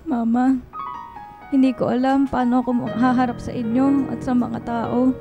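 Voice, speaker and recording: A woman's voice: fairly high pitch, little pitch movement, normal speed, vocal fry, muffled recording. A woman playing a vulnerable, distressed teenage daughter in a radio drama. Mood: ashamed, subdued. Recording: music bed behind the voice.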